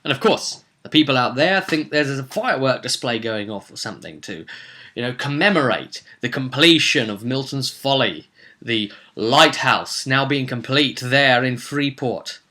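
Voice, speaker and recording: A man's voice: mid-range voice, expressive, normal speed, pushed and bright. A man recapping a tabletop roleplay session as game master, telling it theatrically with casual asides.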